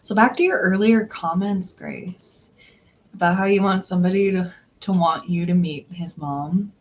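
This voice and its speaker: A woman's voice: fairly low pitch, normal speed, muffled recording. A woman hosting a tarot livestream in casual, rambling chat with viewers, laughing often. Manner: chatty and giggly, laughs often; rambling, with restarts and filler words. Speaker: tarot reader and livestream host.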